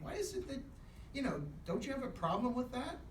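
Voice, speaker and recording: Male Sunday school teacher, comic straight man, animated but halting, heard from a distance with room echo and faint noise. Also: very high-pitched. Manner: hesitant, halting, questioning. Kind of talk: live comic dialogue with a puppet. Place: echoey classroom, in front of a class.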